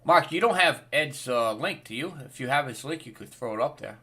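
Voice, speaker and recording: A man's voice: medium pitch, animated, a little fast, pushed and bright, breathy edge. A man hosting a casual live-stream chat who jokes, laughs easily and thanks his viewers. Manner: chatty, laughs often, thanks donors.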